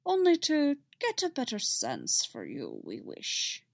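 A woman voicing an ancient, wise alien sage who speaks slowly with inverted word order. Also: fairly high pitch, wildly swooping pitch, vocal fry, no room sound, studio quality, pitched above the performer's own voice, full character voice. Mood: wise, patient. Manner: inverted word order, slow measured speech.

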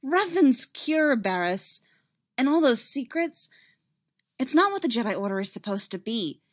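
A woman voicing a distressed, insistent young female Jedi apprentice in dramatic audiobook dialogue, with emphatic, halting protests. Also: a little high, sing-song, voice pushed up. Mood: urgent, insistent.

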